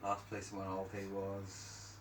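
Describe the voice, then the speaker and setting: A man's voice: sits low, completely flat delivery, normal speed, crowd noise. A man in his thirties who works as a musician, introducing himself hesitantly with many fillers. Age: 30s. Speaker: musician taking part in a session. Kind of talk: casual group introduction. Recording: echoey room, mic at a distance.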